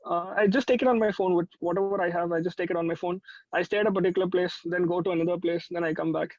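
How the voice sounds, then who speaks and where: A man's voice: high voice, steady tone, fast talker, darkish tone, no room sound, dull audio. A man with a South Indian accent chatting casually as an interview guest, rambling and repeating himself. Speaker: pop musician, interview guest. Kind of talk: casual chat.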